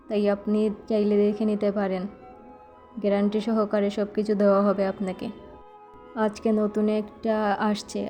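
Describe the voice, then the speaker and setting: A woman's voice: medium pitch, dark tone, lightly acted. A woman voicing a polite, accommodating male shopkeeper with courteous sales talk for a cartoon.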